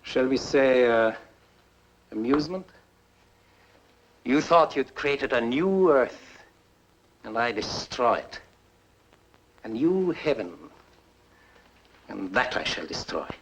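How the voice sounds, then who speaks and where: An actor playing a bitter fugitive inventor who taunts theatrically, in a 1950s film style. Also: male voice, mid-range voice, lively intonation. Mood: gloating, mocking. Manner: sardonic, theatrical, drawn-out taunting. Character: bitter, gloating fugitive inventor, a mocking villain. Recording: muffled, noisy 1950s film soundtrack.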